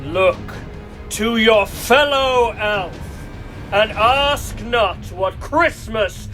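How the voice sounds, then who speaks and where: Evil santa tyrant in a radio play, high pitched for a man, slow pompous speeches, bit of music behind. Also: fairly bright tone, exaggerated voice. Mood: menacing, grandiose. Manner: pompous, theatrical oratory.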